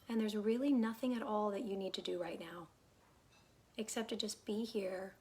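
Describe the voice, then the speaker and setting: A woman leading a guided meditation in a calm, unhurried, soothing way, her soft phrases trailing off into breathiness. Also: on the high side. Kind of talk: guided meditation, gentle instruction.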